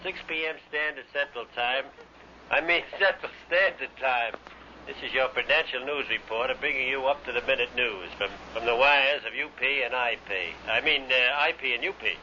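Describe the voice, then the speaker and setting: A man's voice: medium pitch, normal speed, bright, forceful tone. A man working as a news reporter in a vintage radio announcing style. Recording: muffled, slightly noisy old radio broadcast.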